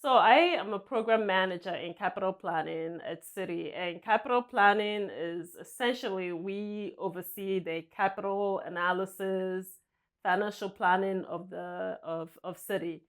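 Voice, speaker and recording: A woman from Nigeria answering interview questions as a guest, soft-spoken and hesitant, often laughing lightly. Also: medium pitch, fairly even intonation, normal speed. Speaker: guest, senior vice president.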